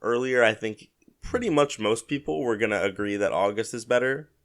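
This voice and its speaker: A man with a fairly low voice, a little stuffed up with a head cold. He talks casually as a solo podcast host commenting on a video game, working through his opinions aloud and often softening them. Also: brisk. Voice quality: slightly congested, stuffy. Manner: hedging, conversational, thinking aloud.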